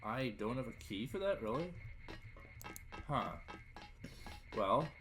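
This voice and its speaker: A man's voice: medium pitch, very animated, slow pace, music in the background. A man giving casual, wry commentary as he plays a video game, often muttering to himself. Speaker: let's play video game host.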